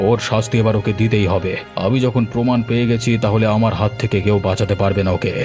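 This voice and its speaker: Guy doing a strict village boss in a cartoon, lowish voice, bossy and scolding, faint music. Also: fairly even intonation, quick pace, a little mellow, natural voice. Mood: angry. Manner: commanding, scolding. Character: stern, authoritative male village elder passing judgment. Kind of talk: Bengali cartoon voice acting. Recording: music behind the voice.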